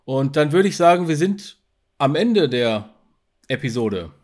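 A man's voice: fairly high pitch, very animated, a little slow, a little mellow. A man co-hosting a podcast, talking in a casual, conversational way. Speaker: podcast host. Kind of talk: casual chat about audio dramas.

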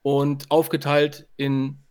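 A man's voice: medium pitch, level tone, takes it slowly, darkish tone, clear, resonant tone. A man co-hosting a podcast in casual, conversational chat. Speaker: podcast co-host.

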